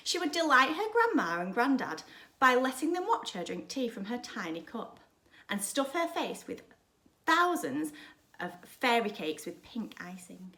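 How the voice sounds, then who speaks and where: A woman reading a children's story aloud like a primary school teacher, dramatically, with emphatic pauses and hushed drops. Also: a little high. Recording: roomy, echoing sound.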